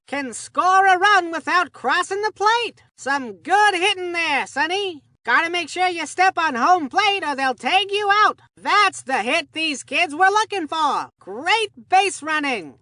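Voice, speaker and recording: A woman voicing an excitable, folksy young baseball commentator in a cartoon video game, gushing in old-time slang. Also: very high-pitched, dry recording, studio quality, heavily acted. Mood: excited. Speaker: sports commentator. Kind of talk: scripted game sports commentary.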